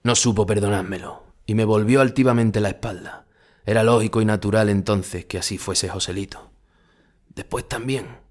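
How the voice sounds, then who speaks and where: Ageing retired matador, played by a male actor, low-pitched, wistful and brooding, for an audio drama monologue. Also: lightly acted. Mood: nostalgic storytelling. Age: older. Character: ageing melancholy retired Spanish bullfighter musing on his past.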